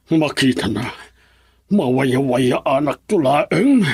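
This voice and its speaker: A proud vengeful old dad in a radio drama, really raspy, mid pitch. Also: male voice, animated, slow pace, a little mellow, no room sound, studio quality, exaggerated voice. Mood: bitter, threatening. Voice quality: husky, rough. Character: vengeful, proud older father.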